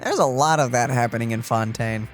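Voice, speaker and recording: A man's voice: mid-range voice, fairly quick, long reverb tail, faint music behind, rough recording. A man giving casual let's play commentary, reacting with wry, exclamatory remarks.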